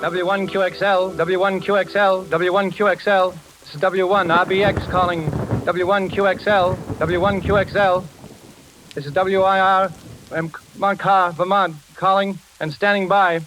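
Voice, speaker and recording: Actor as a brisk, high-voiced radio engineer. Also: male voice, level tone, close to an everyday voice. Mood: brisk, cheerful. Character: brisk, slangy male engineer, concerned for his friend. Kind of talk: 1940s radio acting. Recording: noisy, crackling vintage radio recording.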